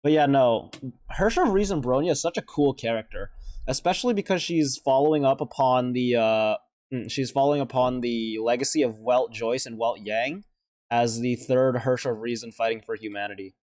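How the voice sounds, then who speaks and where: A man's voice: mid-range voice, moderate pace, a little mellow, dry recording. A man hosts a reaction video in a loose, conversational way. He rambles and hesitates, working out his thoughts as he goes. The sound is dry, with hardly any room in it. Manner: rambling, hesitant, thinking aloud. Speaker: reaction video host, vtuber. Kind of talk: casual off-the-cuff reaction commentary.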